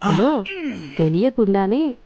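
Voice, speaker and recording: A woman's voice: medium pitch, lively intonation, takes it slowly, warm tone. A woman narrating a scripted children's story as storyteller. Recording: muffled lo-fi, music underneath.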